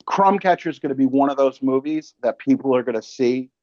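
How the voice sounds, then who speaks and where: A man's voice: medium pitch, brisk, a little mellow, dry recording. Man, podcast host, slightly muffled dry recording, emphatic, slangy, analogy-prone, casual interview talk.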